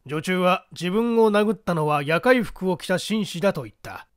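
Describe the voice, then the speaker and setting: A man's voice: sits high, expressive, moderate pace, dry recording, studio quality, voice pushed up. A man playing a condescending, self-assured police detective with a pompous manner in an audio mystery story. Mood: confident, dismissive.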